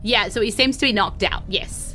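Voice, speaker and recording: A woman's voice: medium pitch, normal speed, bright, forceful tone, soft background music. A woman game master improvising narration for a tabletop game in a casual, chatty, giggly way.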